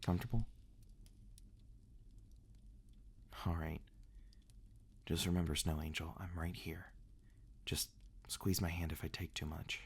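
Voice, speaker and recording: A man playing a gentle, protective vampire in an ASMR roleplay, murmuring softly and haltingly in an intimate way. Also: low-pitched, little pitch movement, vocal fry, slightly rough, full character voice. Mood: tender, soothing. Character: gentle, protective, reclusive male vampire, tender and hesitant.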